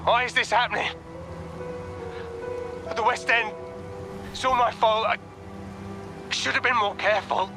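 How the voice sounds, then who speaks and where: A man's voice: very high-pitched, steady tone, a little slow, pauses often, music in the background. An actor playing a grief-stricken, guilt-ridden man of a merchant family in a game drama, pleading and anguished. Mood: anguished, guilty. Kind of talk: dramatic video game voice acting.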